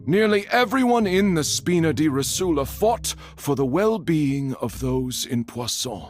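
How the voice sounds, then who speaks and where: Grandiose, swooping courtroom judge. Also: male voice, fairly high pitch, lively intonation, a little slow, faint music behind. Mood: fervent, grandiose. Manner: declamatory, formal. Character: theatrical courtroom judge, grandiose, fervent and unsettlingly devoted. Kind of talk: English video game voice acting.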